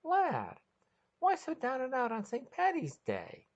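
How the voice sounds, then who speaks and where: A woman's voice: high-pitched, huge pitch swings, unhurried, muffled recording, pitched above the performer's own voice, full character voice. A woman voicing a mischievous, bubbly female alien in a storybook reading, eager and teasing.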